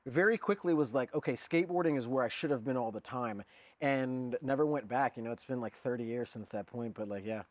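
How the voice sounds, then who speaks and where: A man's voice: mid-range voice, a little fast, no echo. A man in his forties who grew up in Germany, a guest artist talking casually and rambling, with lots of fillers and "dude". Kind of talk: casual conversation.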